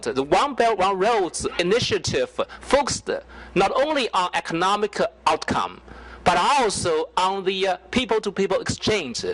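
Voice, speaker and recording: Halting man. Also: sits high, a bit bright, low-quality recording. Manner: careful, halting, frequent hesitations. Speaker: panelist. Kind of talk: public forum remarks.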